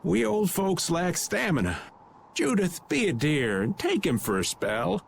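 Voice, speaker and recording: A man's voice: high voice, sing-song, unhurried, vocal fry, slight background noise, exaggerated voice. A man voicing a wry, folksy middle-aged game character who complains with playful self-pity. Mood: exasperated. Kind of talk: video game voice acting.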